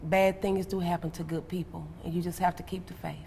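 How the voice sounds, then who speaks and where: A woman's voice: on the low side, level tone, fairly quick, vocal fry, dark tone. A woman stage actor talking casually as an interview guest. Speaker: interview guest, stage play cast member. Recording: reverberant hall with faint noise.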